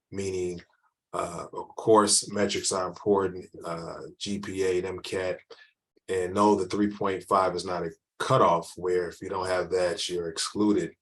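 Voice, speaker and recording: A deep, low-pitched man answers questions from an online audience as an admissions counselor. He talks loosely, circling back and restarting his sentences with frequent fillers. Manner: rambling, restarts often, many fillers. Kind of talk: informal webinar Q&A.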